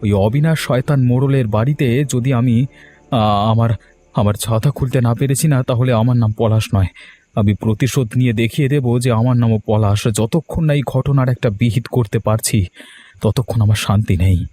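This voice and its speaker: An actor playing a hot-headed, vengeful young village son-in-law in a cartoon story, accusing and confrontational. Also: male voice, mid-range voice, steady tone, a little fast, dark tone, natural voice. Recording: music under the voice.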